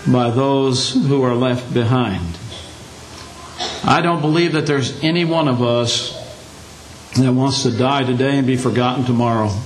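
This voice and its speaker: Hoarse older preacher in an echoey church. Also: male voice, mid-range voice, a little hiss or hum. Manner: plain folksy delivery with light humor. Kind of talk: sermon. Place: church meeting room. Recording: echoey room.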